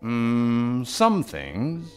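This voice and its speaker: Radio drama: kindly elderly man, slow, hugely expressive, whimsical, a touch hesitant. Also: medium pitch, exaggerated voice. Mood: tender. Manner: kindly, hesitant, playful, courtly. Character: gentle, whimsical old man with secret powers. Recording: radio drama with background music.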